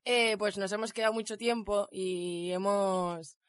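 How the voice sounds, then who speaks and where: A woman's voice: mid-range voice, steady tone, unhurried, no echo, studio quality. A woman with an Aragonese Spanish accent chatting casually and playfully as a radio panelist. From Aragon, Spain. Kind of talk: casual chat.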